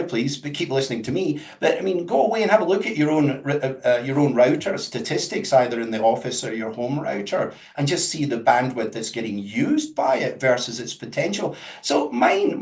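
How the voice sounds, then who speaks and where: A man's voice: a little high, echoey room. A man from Scotland with a Scottish accent, explaining things in a conversational webinar with emphatic, jokey asides over a video call. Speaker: webinar guest speaker. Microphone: webcam with mic on a video call.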